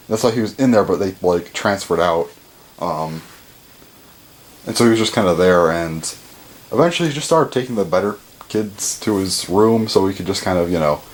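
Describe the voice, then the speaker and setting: A seventeen-year-old young man rambling casually, with lots of fillers and the odd swear, his pitch jumping up when he stresses a word. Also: poor audio. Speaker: vlogger.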